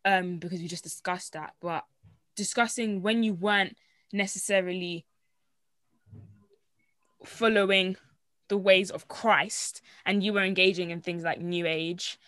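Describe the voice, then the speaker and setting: A woman's voice: lowish voice, fairly even intonation, unhurried, pauses often, a bit bright, no echo, studio quality. A woman hosting a casual faith discussion, reading Bible verses aloud and rambling with frequent fillers. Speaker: host.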